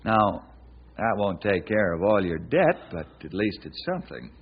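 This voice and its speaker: Earnest western ranger, husky, old radio. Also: male voice, low voice, big pitch swings, moderate pace, heavily acted. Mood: earnest, calm. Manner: earnest, folksy, persuasive. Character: steady, kindly male western ranger trying to help. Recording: dull, lo-fi old radio recording.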